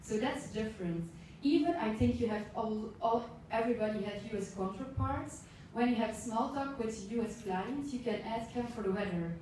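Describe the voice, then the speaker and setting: A woman's voice: fairly high pitch, moderate pace, darkish tone. A young woman from Belgium whose first language is Dutch, giving a workshop session to a class in simple, careful English and asking the room questions. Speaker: intern presenter. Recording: echoey room, distant mic, faint noise.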